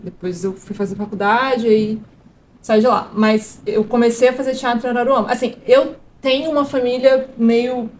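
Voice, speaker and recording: A woman's voice: a little high, steady tone, normal speed, a little mellow, slight background noise, rough recording. A woman, a guest chatting casually in an interview, rambling with fillers and restarts. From Brazil. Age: 30s. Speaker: guest actress and producer.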